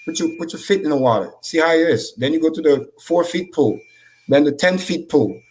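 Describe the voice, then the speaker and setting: High-energy man, native French speaker. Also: animated, fairly quick, a little mellow, rough recording. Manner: high-energy, profane, preachy. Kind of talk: casual motivational talk.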